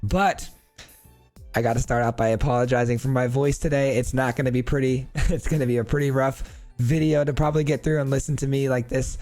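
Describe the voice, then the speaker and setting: A man with a hoarse voice from illness, casually breaking down a card game deck for his channel viewers in an upbeat, chatty way. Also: mid-range voice, fairly even intonation, a little fast, a little mellow, faint music behind. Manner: upbeat, thankful, chatty with fillers. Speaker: card game video host.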